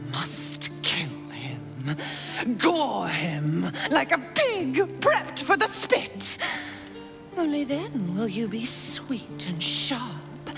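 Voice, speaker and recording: An actress playing a gleefully bloodthirsty shapeshifting assassin cultist in a video game, theatrical and taunting, savoring her words and slipping into whispers. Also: medium pitch. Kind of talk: dramatic video game villain acting.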